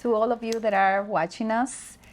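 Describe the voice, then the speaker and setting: A woman from the Dominican Republic, a native Spanish speaker, talking as a guest about leadership in a slow, thoughtful way with pauses and fillers. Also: on the high side, steady tone. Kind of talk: interview conversation.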